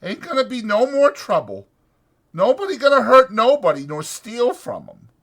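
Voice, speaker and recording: A man voicing a weary, protective ranch hand who talks in a halting, soothing, anguished way in a dramatized audiobook. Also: extremely high pitch, huge pitch swings, fairly bright tone, pitched above the performer's own voice, heavily acted. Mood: tender, halting.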